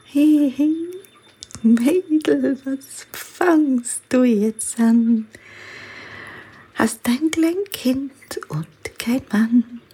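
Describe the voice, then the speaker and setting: An actress playing a poor, earthy young mother, restless and defiant, in a radio play with drawn-out, half-sung murmuring. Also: sits high, mellow, husky, exaggerated voice. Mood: tender, singsong. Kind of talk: German-language radio play acting. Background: faint music.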